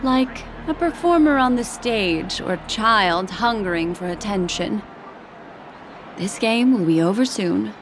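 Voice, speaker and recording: A woman playing a poised, knowing and mysterious lady in a video game, speaking in a composed, coy and measured way. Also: medium pitch, expressive, noisy background, close to an everyday voice. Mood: calm, assured.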